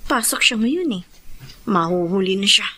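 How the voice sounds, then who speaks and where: An actress playing a caring, gentle young elder sister in a Filipino radio drama. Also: female voice, medium pitch, lively intonation, normal speed. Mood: worried.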